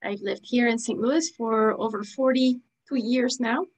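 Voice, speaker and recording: A woman from Venezuela, a nonprofit executive, introducing herself on a video call in a composed, measured way. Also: on the high side, fairly even intonation.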